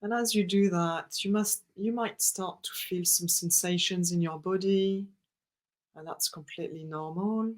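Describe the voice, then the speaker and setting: A breathwork guide with a gentle, soothing manner and an unhurried pace, leading a client through deep belly breathing. Between instructions, the guide speaks calm affirmations for the client to repeat. The voice sits at a middle pitch. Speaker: breathwork facilitator.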